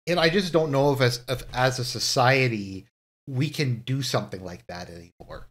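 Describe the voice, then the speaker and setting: Male host, mid pitch, reflective off-the-cuff commentary, restarts and emphatic rises. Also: moderate pace. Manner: thoughtful, musing, with frequent restarts and rising emphasis. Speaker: video essay host. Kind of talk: casual solo commentary.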